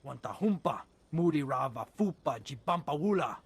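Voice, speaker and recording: A man's voice: a little high, animated, normal speed, creaky, breathy edge, full character voice. An actor voicing a male alien trader who talks in an invented tongue for a video game. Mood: businesslike.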